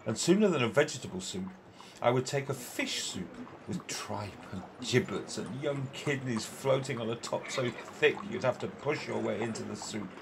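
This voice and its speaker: A man's voice: medium pitch, expressive, moderate pace, vocal fry, noisy background. A man acting in an amateur radio play as an argumentative, courteous admiral who relishes every word, theatrically. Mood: relishing, emphatic. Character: opinionated, food-loving old-fashioned military admiral, courteous and argumentative.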